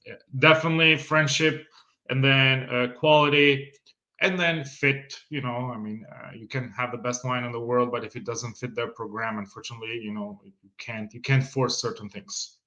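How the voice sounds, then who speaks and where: A middle-aged man with an Italian accent talks through an interview in a bright, forceful voice. He hesitates and restarts his sentences often, with frequent laughs. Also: mid-range voice, fairly even intonation, moderate pace, dull audio. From Italy. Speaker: winery sales manager guest. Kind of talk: casual interview conversation.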